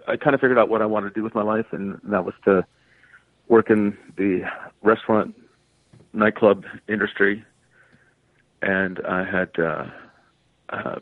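A man's voice: fairly low pitch, vocal fry, no echo. A man, talking casually as an interview guest and telling stories in a conversational way. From Canada. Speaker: interview guest, stage entertainer. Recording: tinny phone-like line, dry.

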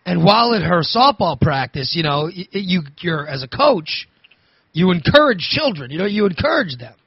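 A man hosting a talk radio show, hoarse from overusing his voice, rambling and restarting his sentences. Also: high-pitched, sing-song, normal speed, dry recording, muffled recording. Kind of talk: casual talk radio monologue.

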